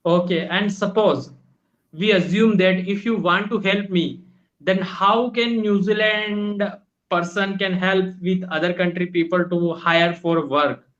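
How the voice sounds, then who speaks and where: High-voiced polite young Indian man. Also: steady tone, a little slow, fairly bright tone, dull audio. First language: Hindi. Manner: polite, eager, addresses teacher as ma'am. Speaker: English learner. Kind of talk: online language lesson chat. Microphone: video call.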